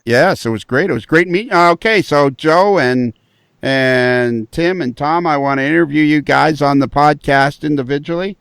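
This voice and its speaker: A man runs a podcast in a casual, excited way, often repeating himself mid-sentence. His voice is gravelly with a bit of fry. The recording is dry and close. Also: fairly high pitch, moderate pace, no room sound. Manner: enthusiastic, repeats words as he goes. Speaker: podcast host.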